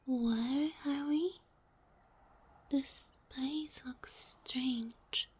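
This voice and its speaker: A woman voicing a sweet, curious young kitsune fox girl in a soft ASMR roleplay, breathy and often near a whisper, speaking closely to the listener with naive, hesitant wonder. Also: high-pitched. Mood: confused, wary.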